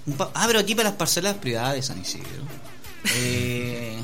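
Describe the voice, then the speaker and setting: Chilean male guest, mid-pitched voice, relaxed conversational interview style, music playing behind. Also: a little fast. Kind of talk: casual chat.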